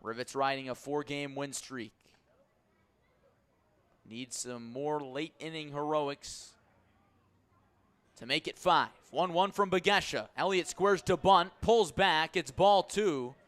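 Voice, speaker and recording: A man calling a baseball game live on radio as play-by-play announcer, measured between pitches and lifting his voice on the action. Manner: measured narration rising to excited shouts on key plays. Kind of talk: live sports commentary.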